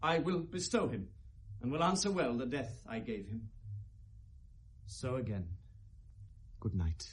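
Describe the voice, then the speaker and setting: Casting: anguished young Shakespearean prince, husky voice, RP English, impassioned and accusing, theatrical 1970s radio drama delivery. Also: male voice, medium pitch, sing-song, a little slow, pauses often. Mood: grave, pleading. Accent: British Received Pronunciation. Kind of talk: 1970s British classical radio drama acting, Shakespearean verse.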